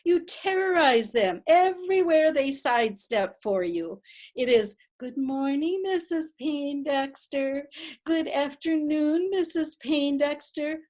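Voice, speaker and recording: A woman playing a modest, elderly widow, mild and gently reproachful, in a readers theatre play. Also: sits high.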